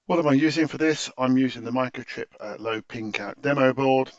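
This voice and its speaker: A man's voice: mid-range voice, brisk, dry recording. A man narrating an informal technical tutorial in a hesitant, stop-start way, often correcting himself, in a slightly muffled close-miked recording. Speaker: tutorial presenter.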